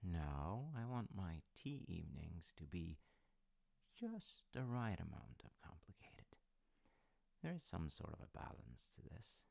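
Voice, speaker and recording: Deep, creaky-voiced gentle dad played by a man. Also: sing-song, unhurried, exaggerated voice. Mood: gentle, musing. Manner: gentle, hesitant, musing, softly wry. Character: warm, gently fussy middle-aged dad at teatime. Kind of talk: intimate audio roleplay, improvised-sounding chat.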